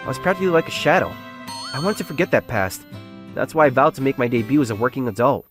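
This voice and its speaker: A man's voice: medium pitch, animated, fast, fairly bright tone, faint music behind, lightly acted. A man playing an earnest, self-doubting young salesman who secretly feels like a nerd, narrating his own story in a comic dub. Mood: confiding, wistful. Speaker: narrator and lead character of a romance comic dub. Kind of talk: first-person dramatised narration.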